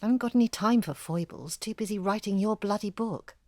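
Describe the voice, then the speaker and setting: A woman's voice: medium pitch, brisk, a little mellow, no echo, lightly acted. An actress playing a blunt, busy single mother who ghostwrites, speaking in a matter-of-fact, naturalistic way. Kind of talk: audio drama acting, naturalistic.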